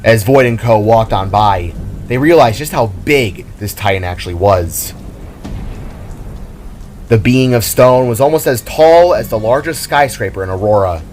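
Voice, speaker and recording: Male narrator for a fantasy audio drama, scripted delivery, low-leaning pitch, light rain-like noise. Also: animated, a little slow, a little mellow. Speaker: narrator and storyteller.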